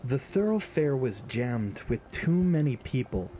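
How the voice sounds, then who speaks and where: A man's voice: medium pitch, big pitch swings, a little slow, warm tone, a little hiss or hum. A man narrating a scripted fantasy story in an audio drama.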